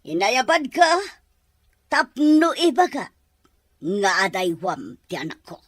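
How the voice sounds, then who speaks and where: Woman acting a bossy mother, high and swooping. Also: big pitch swings, takes it slowly, a little hiss or hum. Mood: stern, insistent. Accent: Filipino. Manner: commanding, emphatic. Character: bossy, disapproving mother and lady of the house. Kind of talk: Ilocano radio drama acting.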